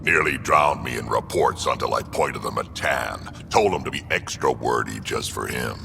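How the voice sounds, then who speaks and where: An actor playing a gruff old alien warrior, blunt and drily amused, with a gravelly rumble, in a video game. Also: male voice, moderate pace. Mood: amused, fond. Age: older. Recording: long reverb, clear ambient noise.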